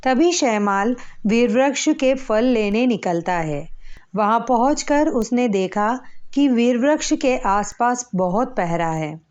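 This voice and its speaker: Female storyteller for scripted children's stories. Measured pace, somewhat high pitch, lo-fi recording. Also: lively intonation, a little mellow.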